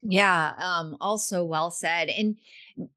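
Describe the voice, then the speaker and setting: A woman host asking interview questions in an encouraging way, with many soft fillers. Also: fairly low pitch, slow pace, no echo. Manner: warm, encouraging, hedging fillers.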